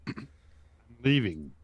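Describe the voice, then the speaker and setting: Man playing animated, impatient adventurer. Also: lowish voice, extremely slow, breathy edge, no echo, exaggerated voice. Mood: curt. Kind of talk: amateur radio play reading.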